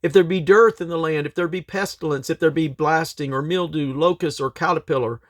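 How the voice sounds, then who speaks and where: A man reads aloud from the King James Bible in a solemn, measured, declamatory way, phrase by phrase. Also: a little high, no echo, studio quality. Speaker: scripture reader and host.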